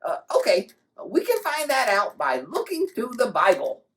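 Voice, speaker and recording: A performer voicing a cheerful, excitable puppet host in a scripted children's Bible show, explaining things playfully. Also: medium pitch, normal speed.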